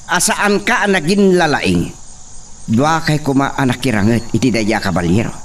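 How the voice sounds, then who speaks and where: An actor with an Ilocano accent playing a domineering, scheming young hacienda master in a radio drama. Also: male voice, medium pitch, animated, moderate pace, a bit bright, recorded from a distance, slight background noise, lightly acted. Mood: mocking, angry.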